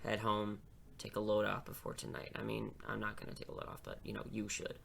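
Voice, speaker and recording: A man playing a dry, self-assured high school English teacher in an audio drama, talking in a casual, rambling way. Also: level tone, a little fast, vocal fry, a bit bright, exaggerated voice. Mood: reassuring.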